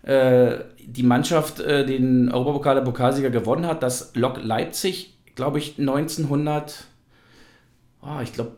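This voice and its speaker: A man hosts a relaxed football conversation in a roomy, echoing space. His voice sits at an average pitch. He rambles along as he reminisces. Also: a little slow. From East Germany. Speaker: podcast host. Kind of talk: casual football podcast conversation. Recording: roomy, echoey sound.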